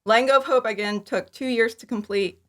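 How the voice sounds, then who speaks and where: A woman's voice: on the high side, fairly quick. A woman talking casually and informally as an interview guest, chatty and prone to acting out her own remarks. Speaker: podcast guest, fan audio drama creator.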